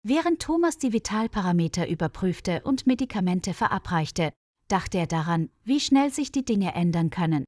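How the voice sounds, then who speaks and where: A woman narrates a story in a clear, measured way. Her pitch moves in lively swings. The sound is dry and close, with no room around her. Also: dry recording. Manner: clear, measured reading with quoted dialogue lifted higher. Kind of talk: scripted story narration for German learners.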